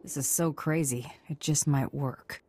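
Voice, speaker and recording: A woman's voice: low voice, fairly even intonation, a little slow, darkish tone, breathy edge. A woman playing a tough, cool bounty hunter in a video game, with a dry, sardonic delivery. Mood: wry.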